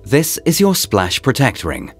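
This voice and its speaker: A man reading a scripted promotional voice-over in short, deliberate, presentational phrases, as a product narrator. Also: mid-range voice, wildly swooping pitch, vocal fry, husky. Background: background music.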